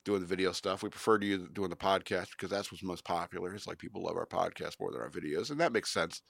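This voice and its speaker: Deep gravelly podcast host. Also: male voice, quick pace, fairly bright tone, dry recording, studio quality. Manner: rambling, jokey, self-promoting. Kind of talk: casual podcast banter.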